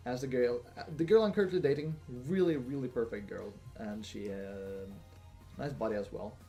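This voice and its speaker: A young man hosting a casual Q&A video, talking in a flat, monotone way with lots of fillers and restarts. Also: mid-range voice. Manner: monotone, rambling with many fillers. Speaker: gaming channel host. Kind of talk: casual Q&A monologue.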